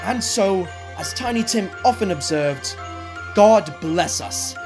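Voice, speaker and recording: A teenage boy narrating the close of a school stage play in a warm, measured storytelling manner. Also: high-pitched, lively intonation, over music, full character voice. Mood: reflective. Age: teens. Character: male storyteller closing the tale.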